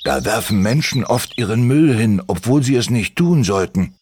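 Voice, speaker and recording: A man's voice: fairly low pitch, expressive, normal speed, background noise, voice pushed up, natural voice. A man voicing a male kobold in a children's story, talking in a stern, lecturing way.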